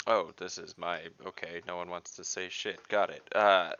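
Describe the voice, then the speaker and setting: A low-voiced man with a gravelly creak and a forceful, bright edge plays a tabletop game. He talks casually, bluntly and dryly. His mic is a little dull, with faint noise behind it. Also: fairly even intonation, moderate pace.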